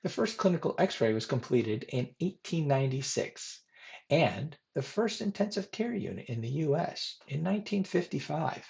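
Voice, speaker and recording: A man's voice: medium pitch, vocal fry, darkish tone, lo-fi sound. A man reads a scripted history presentation in a formal manner, as a presenter narrating a biography.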